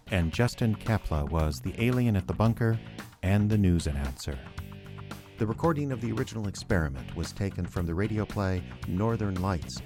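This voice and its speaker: Man, low-pitched, announcer, scripted credits read, guitar music behind. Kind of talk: scripted end-credits narration.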